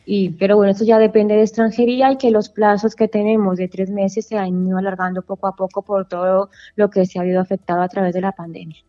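A woman's voice: mid-range voice, level tone, fast, few pauses, warm tone, lo-fi sound, soft background music. Woman lawyer explaining immigration rules. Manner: explanatory, with many asides and self-corrections. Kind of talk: explaining legal steps in a radio interview. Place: office in Valencia, Spain.

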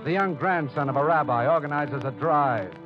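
An actor playing an earnest radio drama narrator, speaking gravely and deliberately in a theatrical style. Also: male voice, a little high, lightly acted. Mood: building excitement. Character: earnest dramatic male narrator addressing the heroine as you. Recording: dull old radio recording, music bed.

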